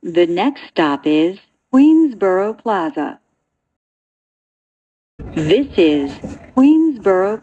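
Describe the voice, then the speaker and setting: A woman reading scripted train announcements in a measured, segmented way. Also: fairly low pitch, warm tone, husky, highs cut off.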